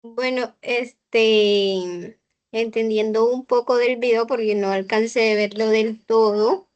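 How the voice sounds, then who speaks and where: Young Colombian woman student, slow and halting, higher voice, video call. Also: steady tone, a little mellow, dull audio. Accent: Colombian Spanish. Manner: halting, many fillers and restarts. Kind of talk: hesitant class answer.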